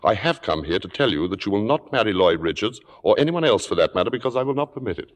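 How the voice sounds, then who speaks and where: Suave, low-voiced, acid-tongued critic. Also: male voice, sing-song, fast talker. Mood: cold, menacing. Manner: urbane, sardonic, precise phrasing. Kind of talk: 1950s radio drama acting and narration. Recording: reverberant old-time radio broadcast recording.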